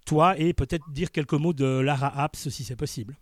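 A man's voice: a little high, steady tone, moderate pace, dry recording. A man hosting a live radio interview from a studio, often rephrasing his questions as he goes. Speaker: radio host.

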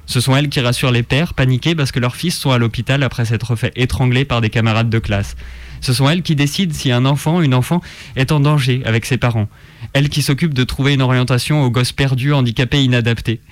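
A man's voice: mid-range voice, no echo. A man co-hosting a radio show, delivering opinion pieces with a sardonic, politically engaged manner. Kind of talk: scripted opinion column and radio banter. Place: radio studio.

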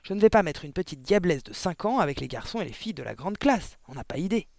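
A monstrous middle-aged storybook headmistress, played by a man, bullying and full of spite. The voice is pushed high and barks out each phrase with emphatic scorn. The sound is close and dry. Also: sing-song, fast, creaky, a little mellow, no room sound. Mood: outraged, bellowing.

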